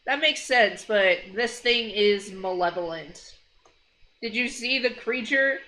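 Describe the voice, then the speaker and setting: A woman giving casual reaction commentary as a video host, blunt, expressive and freely swearing. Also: fairly high pitch, takes it slowly.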